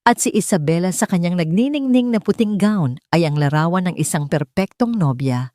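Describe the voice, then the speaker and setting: A woman's voice: on the low side, lively intonation, moderate pace, dark tone, no room sound. A woman with a Filipino accent reading a dramatic story as a scripted narration. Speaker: audiobook narrator.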